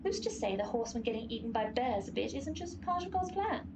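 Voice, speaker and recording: Pestilence's horse: scheming, grandiose radio-comedy schemer, highish voice, noisy soundscape behind. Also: female voice, big pitch swings, brisk. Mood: coolly persuasive. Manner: grandiose, silky, persuasive. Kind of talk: comic radio play acting.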